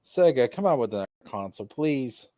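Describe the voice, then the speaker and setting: Animated, profane man in a dry room. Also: mid-range voice, fairly quick, no room sound. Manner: profane, bombastic, emphatic ranting. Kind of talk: casual gaming chat.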